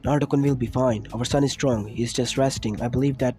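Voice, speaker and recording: A man voicing a loving mother in a read-aloud fan story, speaking softly and reassuringly. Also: mid-range voice, steady tone, brisk, faint music behind, close to an everyday voice. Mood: soothing. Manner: soft, hushing, reassuring. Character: loving mother, soothing and protective.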